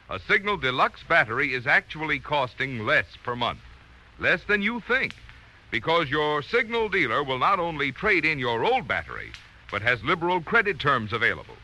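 40s radio announcer guy, polished and emphatic, super lively pitch, sharp tone, vintage broadcast sound. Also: rough recording. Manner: emphatic, polished sales delivery. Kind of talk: 1940s radio announcing, scripted pitch and credits.

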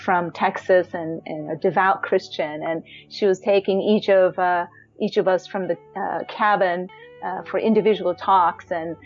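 A woman in her thirties with a naturally high voice looks back on her life, talking in a loose, chatty way with plenty of fillers and restarts. The audio is dull and lo-fi, with faint music underneath. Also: fairly even intonation, a little slow. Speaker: singer telling her life story. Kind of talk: personal storytelling.

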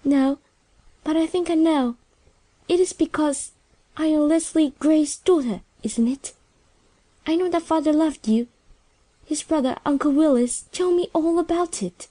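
An earnest young woman speaks softly and tenderly, read by a woman. Her voice is high and mellow. The sound is dry and close, like an audiobook character. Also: measured pace, pauses often, no room sound. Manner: earnest, soft-spoken.

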